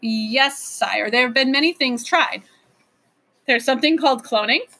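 A woman's voice: high-pitched, measured pace, bright, forceful tone, exaggerated voice. Woman playing a flustered, deferential male professor. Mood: earnest. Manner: hesitant, pleading, explanatory.

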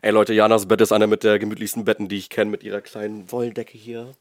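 A young man from northern Germany, a chatty podcast guest who is slightly under the weather, self-mocking and quick to laugh. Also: fairly low pitch, level tone. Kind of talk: casual podcast chat. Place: host's bedroom, sitting in bed.

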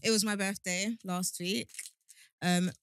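A woman's voice: medium pitch, fairly even intonation, a little slow, background noise. A British woman in her thirties co-hosting a podcast in casual chat, with a playful, teasing manner. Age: 30s. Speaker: podcast co-host.

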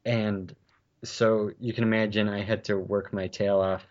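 A man in his forties with a bass voice, chatting and reminiscing with old friends, laughing often and restarting his sentences. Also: flat delivery, unhurried, a little mellow, highs cut off. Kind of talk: casual chat.

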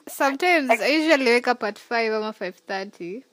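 A woman co-hosting a casual chat, relaxed and teasing, with soft laughter. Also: a little high, brisk, no echo. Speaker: podcast co-host.

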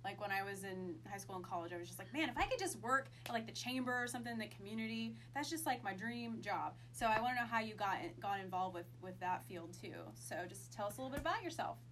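A woman's voice: mid-range voice, lively intonation, quick pace, few pauses, fairly bright tone, a little hiss or hum. A woman co-hosting a podcast, bubbly and chatty with lots of likes and ums. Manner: bubbly, chatty, filler-heavy. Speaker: podcast co-host. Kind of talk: casual interview chat.

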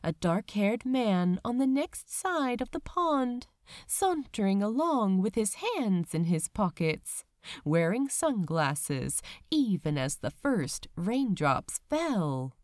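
Unhurried, expressive woman reading a story. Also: medium pitch, no room sound, studio quality. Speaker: audiobook-style reader of fan fiction. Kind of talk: scripted story narration.

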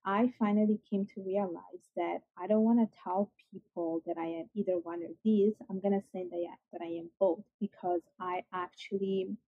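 Woman, young adult, Italian accent, earnest, lots of fillers, husky, phone-like sound. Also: medium pitch, fairly even intonation, moderate pace, few pauses, dry recording. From Italy. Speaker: guest. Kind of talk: interview. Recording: tinny phone-like call audio.

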